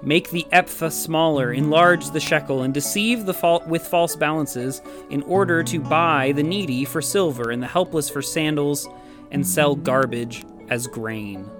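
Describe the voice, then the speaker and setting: A man's voice: a little high, unhurried. Man reading scripture over prominent guitar music. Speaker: scripture reader. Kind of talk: solemn scripture reading aloud. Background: soft acoustic guitar music.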